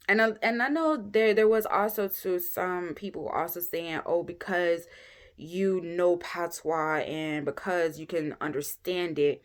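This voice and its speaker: Chatty young woman, slightly low. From United States. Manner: candid and chatty, many "um" pauses, speeds up and slows down. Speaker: vlogger. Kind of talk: casual talking-head vlog.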